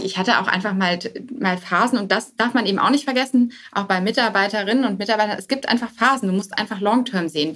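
A woman's voice: mid-range voice, a bit bright. Female start-up founder as podcast guest, mid-range voice, informal and conversational, thinks aloud, some English jargon. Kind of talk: casual conversational interview.

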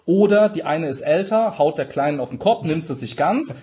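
A man's voice: a little high, expressive, normal speed, dark tone. A man, explaining conversationally as an interview guest and illustrating his points with examples. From Palatinate, Germany. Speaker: interview guest, lawyer, mediator and negotiation trainer.

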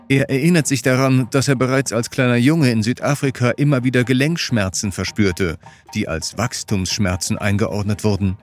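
Low-voiced male documentary narrator over music.

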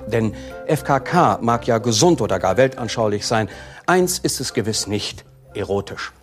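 A man giving a mock-formal address in ornate, tongue-in-cheek phrasing. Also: lowish voice.

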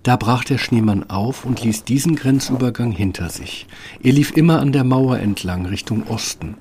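A man's voice: mid-range voice, moderate pace, a little mellow, a little hiss or hum. A man narrating a scripted fairy tale as the storyteller of an audio play. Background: instrumental music.

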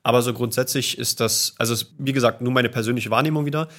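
Fast-talking young Berlin man. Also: medium pitch, fairly even intonation, fairly bright tone. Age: young adult. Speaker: police officer guest. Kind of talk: podcast interview.

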